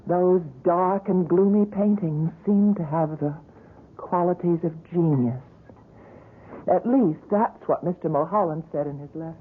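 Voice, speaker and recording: A woman's voice: on the low side, unhurried, a little hiss or hum, natural voice. An actress playing a devoted young woman who works at a gallery, in a 1970s radio drama, speaking fondly and reassuringly and sometimes narrating past events. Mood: reflective. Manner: fond, fluttery, reassuring. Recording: narrow lo-fi radio broadcast.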